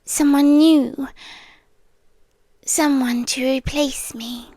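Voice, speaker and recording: A British woman playing a possessive demon girlfriend in an ASMR roleplay, halting and breathy, eerily tender and menacing, close on the mic. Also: high voice, fairly even intonation, dark tone, no echo, full character voice. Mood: eerie, confessional. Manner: breathy whispering, halting, drawn-out delivery. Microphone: close, dry ASMR microphone.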